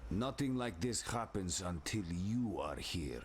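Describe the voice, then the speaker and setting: An actor playing a hostile, accusing warrior in a fantasy video game, with a gravelly, threatening voice. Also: male voice, low-pitched, sing-song, measured pace, slight background noise, full character voice. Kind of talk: scripted video game dialogue.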